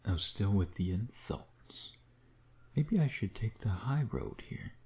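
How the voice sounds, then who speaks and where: A man playing a smug, teasing vampire predator in an intimate ASMR roleplay, sardonic and flirtatious, often slipping into breathy murmurs. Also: on the low side, sing-song, slow, warm tone, exaggerated voice.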